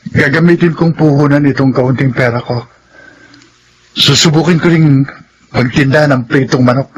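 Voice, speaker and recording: A man's voice: medium pitch, moderate pace, a little hiss or hum, natural voice, low-quality recording. A man playing a humble, earnest ex-convict father rebuilding his life in a radio drama. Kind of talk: classic radio drama acting.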